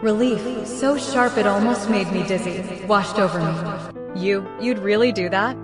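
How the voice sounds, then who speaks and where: A frightened pregnant teenage girl, played by a woman, tells and lives her roleplay drama. She stammers with emotion yet keeps some resolve. The voice rings in a heavy echo over soft music. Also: medium pitch, unhurried, close to an everyday voice. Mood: pleading. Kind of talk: dramatic first-person roleplay story acting and narration. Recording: echoey, long reverb, music underneath.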